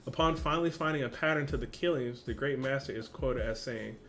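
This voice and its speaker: A man's voice: mid-range voice, fairly quick, a bit bright, faint music behind. Man casually reading aloud, stumbling. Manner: stumbling reading, self-correcting; casual slang asides. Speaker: reaction video host.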